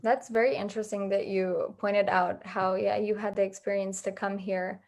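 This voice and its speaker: A woman hosts an interview in a conversational style. Her mid-range voice has strong creak and fry. She phrases her questions tentatively, with some hesitation. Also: mid-range voice, little pitch movement, moderate pace, darkish tone. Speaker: interviewer, host.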